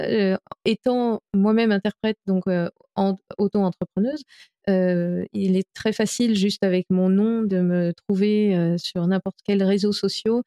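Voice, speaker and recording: A French-born woman speaks as a guest on an interview, her voice warm and mellow. She talks earnestly, often pausing on fillers and restarting her sentences. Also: medium pitch. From France. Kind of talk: podcast interview answers.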